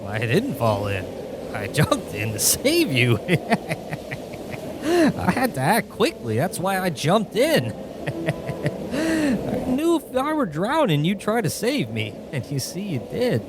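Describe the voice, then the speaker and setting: A man voicing an eager, excitable guardian angel in a comedic parody radio play, chatty and cheerfully crude. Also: sits high, slow, background noise, exaggerated voice. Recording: music behind the voice.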